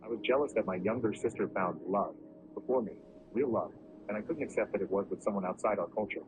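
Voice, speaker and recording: A man narrating a first-person story in a steady, even storytelling delivery. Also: on the low side, fast. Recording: muffled lo-fi recording with clear background noise.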